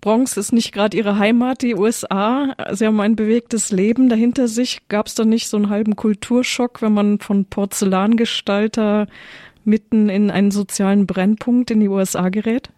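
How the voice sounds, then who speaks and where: A woman radio presenter introduces her studio guest politely and formally. Her voice is mellow and of middle pitch, and the studio sound is dry. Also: fairly even intonation, moderate pace, no room sound. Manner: friendly, formal address.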